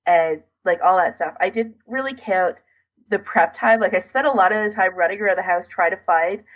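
A woman's voice: fairly low pitch, fairly quick, rough recording. A woman co-hosting a podcast in casual chat, recounting things in detail with a self-deprecating streak. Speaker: podcast co-host.

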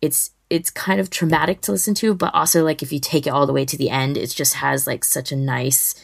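A woman with a low voice full of gravelly creak talks casually as a podcast host. Her delivery is informal and enthusiastic, starting and stopping as she goes. The sound is dry, with no room echo. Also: fairly even intonation, fairly quick. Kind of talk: casual chat, giving recommendations.

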